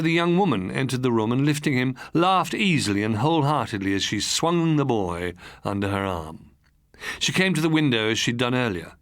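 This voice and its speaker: A man's voice: fairly low pitch, fairly bright tone, dry recording, clean studio sound. A man reading an audiobook as a dramatic, scripted narration.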